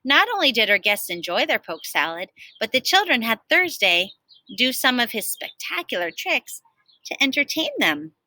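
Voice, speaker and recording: A woman teacher reading a picture book aloud to children in an expressive storytelling style. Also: fairly high pitch, moderate pace, no echo.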